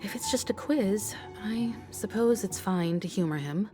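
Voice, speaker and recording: A woman voicing a composed, pragmatic adult adventurer in a fantasy video game, calm and matter-of-fact. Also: medium pitch, unhurried, music in the background, lightly acted. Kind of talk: scripted game dialogue.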